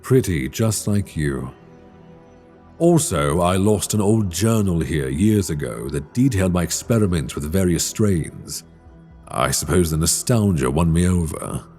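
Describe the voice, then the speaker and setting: Ancient dragon king, male actor: very low, slow, brooding and regretful, proud yet wryly tender, dark fantasy ASMR close to the mic. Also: expressive, soft background music, heavily acted. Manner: brooding, theatrical, measured storytelling with sighs. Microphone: close binaural microphone.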